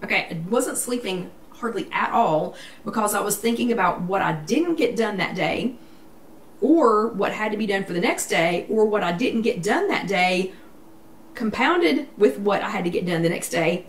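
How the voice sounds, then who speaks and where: Woman vlog host, casual live chat, emphatic and repetitive, mid pitch, roomy sound from a distant mic. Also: animated, moderate pace. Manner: chatty, emphatic, repeats herself. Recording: echoey room, distant mic, faint noise.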